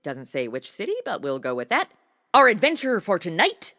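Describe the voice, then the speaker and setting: Woman acting animated, ditzy young influencer. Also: medium pitch, normal speed, no room sound, clean studio sound, heavily acted. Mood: breezy, amused. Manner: breezy, casual valley-girl slang. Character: ditzy, chatty, modern young female influencer. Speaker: host. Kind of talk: comedic narration and banter.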